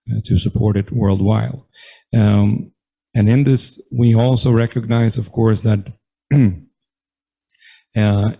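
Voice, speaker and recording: A man moderating a panel event, giving formal opening remarks in a halting way, full of fillers and restarts. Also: on the low side, vocal fry, mellow, husky. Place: event room with audience.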